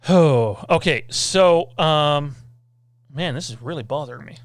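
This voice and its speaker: A man hosting a casual livestream Q&A, rambling loosely, reading out viewer comments and filling pauses with lots of "uh" and "um.". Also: on the high side, dry recording, studio quality. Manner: rambling, reads out viewer comments, many filler sounds. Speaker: podcast host.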